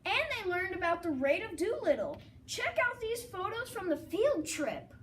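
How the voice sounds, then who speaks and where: Very high, lively girl co-anchor. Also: moderate pace, recorded from a distance, a little hiss or hum. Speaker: student co-anchor. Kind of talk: school morning broadcast.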